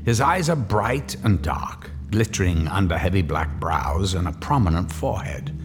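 A man narrating a radio drama in a measured, vivid storytelling voice. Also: low voice, very animated, over music.

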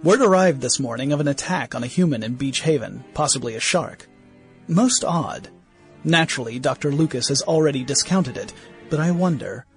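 A man's voice: mid-range voice, expressive, normal speed, faint music behind, close to an everyday voice. A man voicing a young museum naturalist writing diary entries in a 1916 radio play. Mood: earnest. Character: young male museum naturalist, earnest and doubtful.

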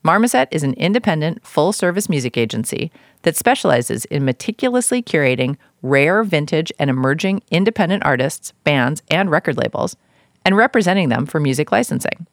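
Creaky-voiced podcast host, dry close sound. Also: medium pitch, sing-song, fairly quick, dry recording. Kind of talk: interview chat and scripted show announcements.

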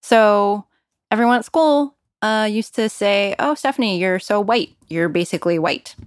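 A woman's voice: medium pitch, moderate pace, dry recording, studio quality. A woman with an American accent telling personal stories in a conversational, candid and wryly self-deprecating way. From San Francisco Bay Area, California. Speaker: personal storyteller. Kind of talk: conversational personal narrative commentary. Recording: music bed under the voice. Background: music.

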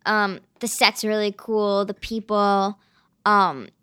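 A teenage girl who acts on stage talks about her work in a relaxed interview. She sounds eager and chatty, with plenty of "um" and "like". Her voice sits at an ordinary middle pitch. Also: takes it slowly, smooth. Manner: eager, chatty, many fillers like "um" and "like". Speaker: young stage actress, guest. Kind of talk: casual interview chat.

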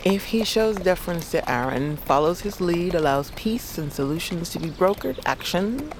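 A woman playing a wise, wary older grandmother and envoy, measured and dry, in an audio drama. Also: on the low side, expressive, background noise, natural voice. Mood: measured counsel. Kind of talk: dramatic audio fiction dialogue.

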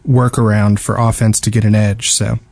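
A man's voice: fairly low pitch, level tone, normal speed, creaky, a little mellow, dry recording. A man co-hosting a casual gaming discussion, talking in a hesitant, rambling way and often repeating words. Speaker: podcast co-host. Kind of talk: casual video game discussion.

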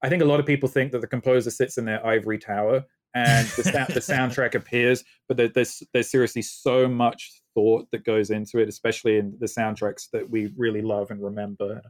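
A man's voice: mid-range voice, level tone, brisk, hardly any pauses. Male guest, faint British accent, chatty and enthusiastic, rambling answers. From Britain. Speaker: guest composer. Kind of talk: casual podcast interview.

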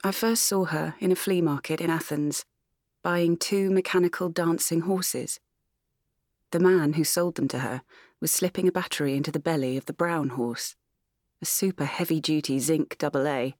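A woman's voice: low voice, normal speed, a little mellow, no room sound, clean studio sound. A woman with an English accent reading literary fiction as an audiobook narrator, in a lilting, musical voice.

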